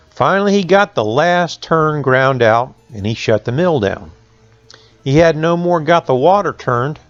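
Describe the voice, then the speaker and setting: A man with a Southern Appalachian accent tells tales for children. His delivery is folksy and conversational, with dramatic pauses in a mid-range voice. The sound is close and dry but a bit dull. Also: mid-range voice, no room sound. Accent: Southern Appalachian American. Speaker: school resource officer reading folk tales to students.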